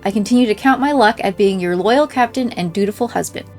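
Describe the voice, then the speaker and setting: A woman reads out in-game messages in a scripted narrating style. Her voice sits at a middle pitch. Game music plays softly behind her. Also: fast. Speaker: game playthrough creator reading in-game mail aloud.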